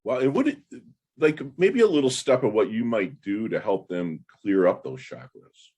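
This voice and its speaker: A man's voice: lowish voice, very animated, moderate pace, darkish tone, husky. A man in his fifties hosting a podcast interview, chatting enthusiastically and rambling with lots of fillers. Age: 50s. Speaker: podcast host. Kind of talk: casual interview chat.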